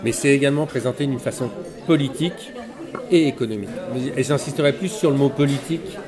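A middle-aged man from the audience speaking articulately and deliberately in a street-style interview. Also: mid-range voice. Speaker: audience member. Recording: busy crowd behind.

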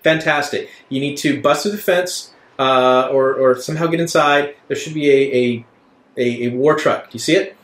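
A man's voice: mid-range voice, normal speed, a bit bright, lightly acted. A man playing a flustered male guide who speaks inside someone's head, hesitant, evasive and apologetic. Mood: urgent, hesitant. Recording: roomy sound under guitar music.

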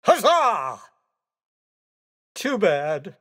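A man voicing a theatrical, excitable skeleton gentleman in a racing video game, with courteous, exclamatory delivery. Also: sits high, extremely slow, slightly rough, full character voice. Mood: gleeful, then dismayed. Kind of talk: video game character voice clips.

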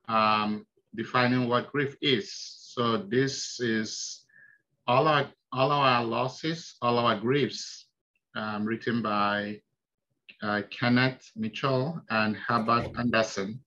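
A man with a Nigerian accent, a hospice chaplain giving a slide presentation over a video call, halting and full of ums. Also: medium pitch. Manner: halting, many ums, soft-spoken. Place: small indoor room. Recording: dull lo-fi video call audio.

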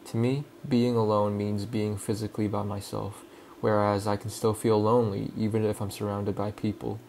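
Calm low-voiced young man narrating. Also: slight background noise. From Hong Kong. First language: Cantonese. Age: young adult. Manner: calm, reflective, measured. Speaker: student filmmaker. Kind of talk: scripted personal voice-over narration.

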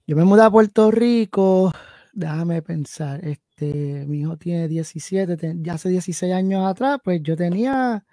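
A man in his late thirties from Connecticut talks through a video call from his home studio. His voice is mellow and dark, and the sound is dry and close. He rambles, pausing to think aloud with fillers. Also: sits high, dry recording. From New Haven, Connecticut, USA. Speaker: guest musician and producer. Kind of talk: casual interview chat. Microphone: headset and video call.

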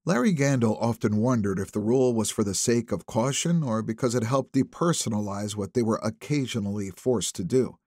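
A man's voice: lowish voice, brisk, hardly any pauses, a little mellow, dry recording, clean studio sound. A man reading a thriller novel as scripted audiobook narration.

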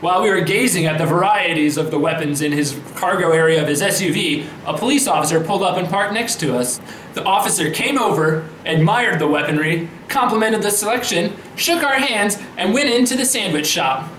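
An indignant, self-righteous politician acted out by a man declaims social media posts in a high male voice. The delivery is theatrical and emphatic, with folksy pomp, in an echoey room with faint noise behind it. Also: brisk, fairly bright tone. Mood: earnest, patriotic. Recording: roomy echo, faint background noise.

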